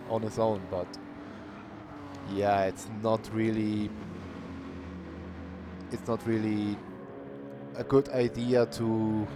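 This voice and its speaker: Man calling a sim race qualifier, steady and plain, over background noise. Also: lowish voice. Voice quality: calls himself monotonous. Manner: unhurried, plain-spoken, bursts of cheering. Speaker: race commentator. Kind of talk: live sim racing commentary. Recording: noisy stream audio.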